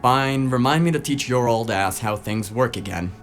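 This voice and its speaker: A man's voice: medium pitch, normal speed, soft background music, natural voice. Man playing a brash, snarky investigator's sidekick. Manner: snarky, colloquial. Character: brash, foul-mouthed, teasing male tech sidekick. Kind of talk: noir audio drama acting, casual banter.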